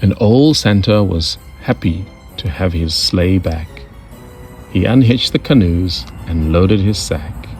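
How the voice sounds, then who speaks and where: A man hosting a storytime, narrating a children's picture book with deliberate, expressive delivery. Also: deep voice. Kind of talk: children's read-aloud narration. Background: music.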